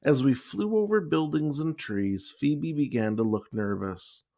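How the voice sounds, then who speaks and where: A man reading a children's picture book aloud with expressive, deliberate storytelling. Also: mid-range voice, creaky. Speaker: story time reader.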